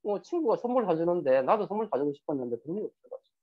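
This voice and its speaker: Lively husky man lecturing. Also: high voice, very fast. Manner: lively, rhetorical questions, acts out quoted dialogue. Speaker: speaker giving a talk on sales and emotions. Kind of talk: lecture to an audience. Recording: dull lo-fi recording.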